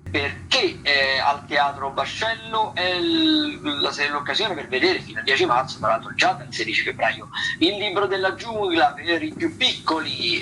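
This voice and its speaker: A man's voice: medium pitch, pushed and bright, a little hiss or hum, low-quality recording. A man with an Italian accent giving informal theatre commentary on the radio in a hesitant, digressive, wry way.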